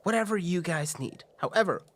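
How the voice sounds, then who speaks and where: A man's voice: high voice, expressive, fairly quick, fairly bright tone. A man voicing an eager, gleeful underground surgeon who is genteel and breezy about grisly risks.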